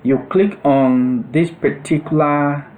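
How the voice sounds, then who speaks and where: A man narrating a step-by-step software tutorial as an instructor, explaining things in short, separated phrases. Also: fairly high pitch, slow pace, poor audio.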